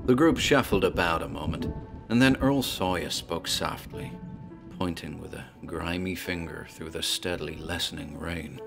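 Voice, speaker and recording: Deep male horror narrator, measured and grave, faint music bed. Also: vocal fry.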